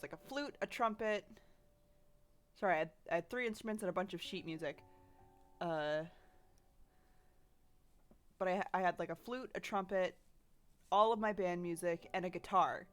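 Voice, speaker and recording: A young woman with a slightly low voice chats on stream from her bedroom, sniffly from dust. She rambles and laughs as she talks, over faint background music. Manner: rambling, chatty, laughs often, reads chat aloud. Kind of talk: casual chat while drawing on stream. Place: home bedroom.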